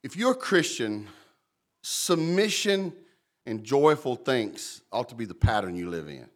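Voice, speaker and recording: Big-voiced pastor preaching. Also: male voice, medium pitch, a little slow. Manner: lively, conversational preaching, calls out congregants by name. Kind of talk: sermon. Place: church.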